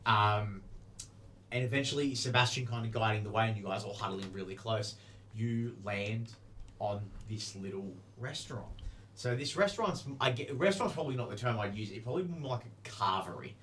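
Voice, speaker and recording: A man's voice: fairly low pitch, brisk, fairly bright tone, a little hiss or hum. A man improvising narration as game master of a tabletop game, in casual, rambling explanations. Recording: music behind the voice.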